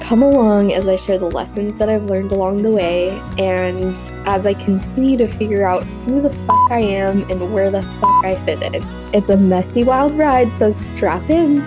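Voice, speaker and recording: A woman's voice: medium pitch, moderate pace, hardly any pauses, over music. An American woman from rural Arizona hosting a podcast in a casual, gushing, conversational way. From rural Arizona, United States. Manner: enthusiastic, gushing. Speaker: podcast host.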